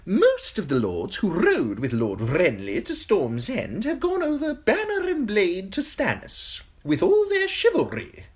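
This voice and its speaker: A woman voicing a sly, simpering male eunuch courtier with a high, tittering voice, in an audiobook. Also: wildly swooping pitch, a little slow, few pauses, breathy edge, heavily acted. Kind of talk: audiobook character voice.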